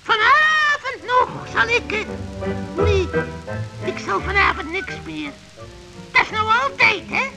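A woman's voice: extremely high pitch, animated, slow, pauses often, bright, forceful tone, faint music behind, full character voice, rough recording. A woman voicing a scheming, gloating female forest witch in a children's radio play, cackling and drawing out her words.

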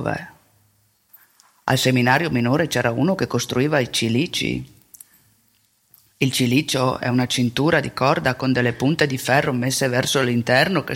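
Mild, self-deprecating older former priest. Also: male voice, mid-range voice, fairly bright tone, slightly rough. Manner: colloquial, plain-spoken, self-deprecating, with rhetorical tags. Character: mild, devout married former priest, older man, recalling seminary. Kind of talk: theatrical confessional monologue.

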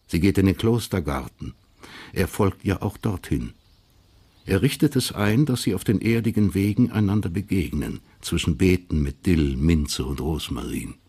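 A man narrating a German historical crime radio drama as a sober storyteller, measured and matter-of-fact. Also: sits low, mellow, slightly rough, exaggerated voice.

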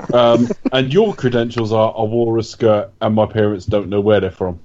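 Cohost, sarcastic teasing, kinda muffled mic. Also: male voice, on the low side, a little slow, dark tone. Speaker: podcast co-host. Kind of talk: casual chat. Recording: slightly dull mic.

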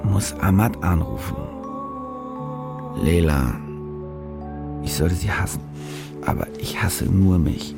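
An actor playing a cynical, desperate German war reporter in a radio drama, muttering and brooding as if to himself. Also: male voice, low voice, slow, creaky, pauses often, over loud music, full character voice. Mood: weary self-reproach. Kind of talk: radio drama acting, interior monologue.

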